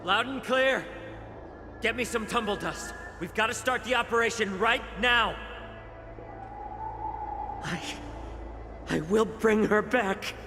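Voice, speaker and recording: Devoted young-sounding healer for a game, lighter high register, measured delivery, echoing reverb. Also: male voice, music in the background. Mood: urgent, resolved. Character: devoted, gentle male fox-folk healer. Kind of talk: dramatic video game acting. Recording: long reverberant echo.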